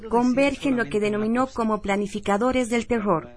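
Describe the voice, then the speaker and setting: A woman's voice: a little high, fairly even intonation, moderate pace. A woman presenting radio news, reading scripted headlines and reports. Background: music.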